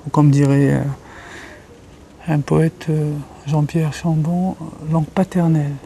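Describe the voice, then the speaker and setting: Thoughtful man, mellow voice. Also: a little high, fairly even intonation, a little slow, vocal fry, slight background noise. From Algeria. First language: Kabyle. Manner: thoughtful, searching for words. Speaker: interviewee, writer and journalist. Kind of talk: reflective interview talk.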